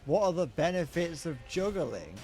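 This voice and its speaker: A man's voice: fairly high pitch, very animated, normal speed, darkish tone, faint music behind. A young man streaming a game, chatting casually in a rambling, self-deprecating way that keeps trailing off mid-sentence. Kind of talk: casual chat while gaming.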